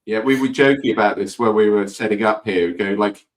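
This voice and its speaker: A man's voice: lowish voice, brisk. Chatty man hosting a webinar. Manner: chatty, laughs easily, many uhs. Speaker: webinar host.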